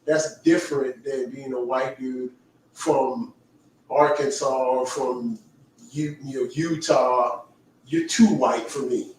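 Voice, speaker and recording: A man's voice: medium pitch, fairly even intonation, recorded from a distance, slight background noise. A man from the with a light lilt, chatting casually and teasing the others as he hosts a livestream. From Caribbean. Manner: teasing, talkative, quick asides. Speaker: livestream host. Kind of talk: casual chat. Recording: echoey room, away from mic.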